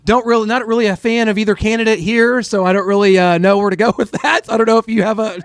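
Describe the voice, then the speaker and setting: A man's voice: high voice, fairly even intonation, quick pace, no echo, studio quality. A man hosting a podcast interview, chatty and chummy, with lots of "uh" fillers. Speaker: podcast host. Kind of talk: casual interview.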